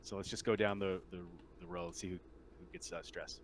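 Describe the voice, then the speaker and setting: Low-voiced man running a tabletop game. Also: fairly even intonation, a little hiss or hum. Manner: halting, informal delivery. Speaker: game master. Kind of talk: narrating a tabletop roleplaying game.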